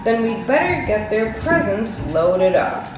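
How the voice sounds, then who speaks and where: Jolly old storybook Santa , acted by a woman, hearty and booming, echoey room with music. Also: sing-song, a little slow. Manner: booming, chuckling.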